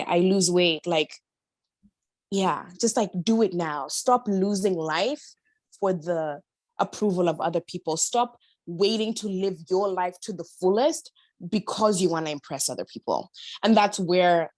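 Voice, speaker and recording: A woman's voice: medium pitch, a little mellow, no echo. A woman chatting personally as a podcast guest, emphatic and slangy in a motivational way. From Kenya. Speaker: podcast guest, body-confidence influencer. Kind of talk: casual, personal interview chat.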